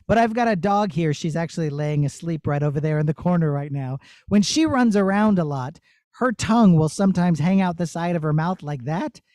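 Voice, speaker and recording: A man's voice: a little high, lively intonation, fairly quick, darkish tone, no echo, studio quality. A middle-aged man, a puppeteer, casually explaining his craft to children. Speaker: puppeteer teaching a master class.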